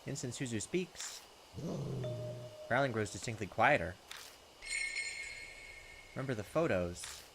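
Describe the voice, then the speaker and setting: A man hosting a gaming video, reading visual novel text aloud and adding jokey, expressive, sometimes profane commentary. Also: mid-range voice, noisy background. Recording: loud game music behind the voice. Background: game soundtrack.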